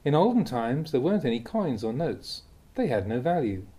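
A man, a monk, reading aloud a Buddhist teaching in a calm, deliberate way. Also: husky. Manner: calm, deliberate reading with lively pitch on key words. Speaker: monk reading Dhamma talks.

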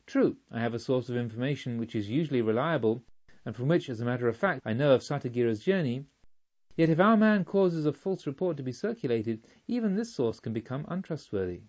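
A man voicing a dignified, menacing yet remorseful robber chief in an audiobook, speaking in a measured, formal way. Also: mid-range voice, animated, a little mellow, lo-fi sound, natural voice. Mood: persuasive. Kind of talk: audiobook storytelling.

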